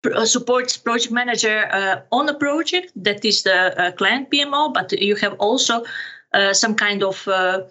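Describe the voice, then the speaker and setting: A middle-aged woman from Serbia with a Serbian accent, answering interview questions haltingly as she searches for words. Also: mid-range voice, sharp tone, lo-fi sound. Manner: halting, searching for words, non-native phrasing. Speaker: podcast guest, project management officer.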